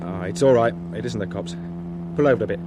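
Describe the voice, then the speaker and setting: A man playing a panicky getaway car passenger in a radio drama, speaking urgently over loud engine noise. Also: fairly low pitch, fast, natural voice. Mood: alarmed.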